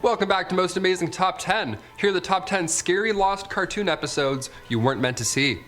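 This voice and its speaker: A man's voice: sits high, brisk, fairly bright tone. A man hosting a top ten countdown, narrating conversationally with wry, joking asides and mimicked reactions. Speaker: top ten list video host. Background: background music.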